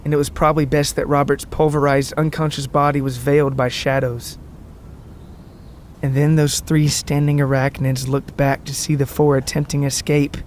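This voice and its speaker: A man's voice: fairly high pitch, flat delivery, normal speed, slight background noise. A man reading scripted fiction as the narrator of an audio story.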